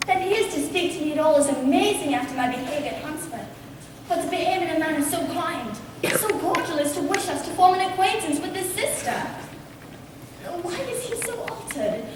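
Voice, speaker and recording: Young period gentlewoman played by a woman, high voice, polite, flustered, formal stage delivery, distant in a reverberant hall. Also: expressive, a little fast. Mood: wondering. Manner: formal period speech, theatrically projected. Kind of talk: amateur stage play acting. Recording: echoey theatre hall from far off, faint noise.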